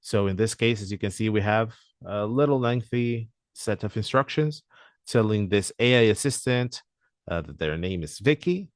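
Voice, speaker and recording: A man presenting a software demo walkthrough in a webinar, talking hesitantly with frequent "uh" fillers. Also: fairly low pitch, normal speed, no echo, studio quality.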